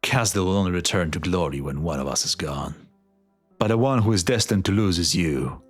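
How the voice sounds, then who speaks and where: A weary, resolute fantasy game warlord played by a man speaks in a deep voice. He is grave and fatalistic, weighing each phrase deliberately over faint background music. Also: expressive. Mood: stern resolve. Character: grim, fatalistic male war leader, weary and resolute. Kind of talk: dramatic fantasy game dub acting.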